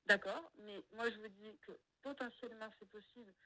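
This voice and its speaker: Hesitant, bright-voiced woman agent. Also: medium pitch, normal speed, dry recording, poor audio. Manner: hesitant, stammering, cautious. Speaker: real estate agent. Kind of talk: recorded phone call.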